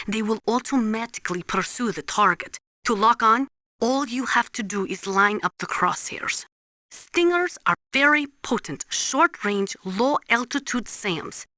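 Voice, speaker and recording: A woman's voice: a little high, lively intonation, measured pace, pushed and bright, no room sound, studio quality. An actress playing a blunt, knowledgeable female military weapons analyst in a video game, briefing in a precise, instructive way. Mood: matter-of-fact, urgent. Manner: precise, matter-of-fact, instructive.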